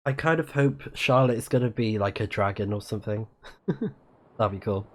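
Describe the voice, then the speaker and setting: Male game streamer, low-pitched, thinking out loud and reading in-game text casually. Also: normal speed. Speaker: let's play streamer.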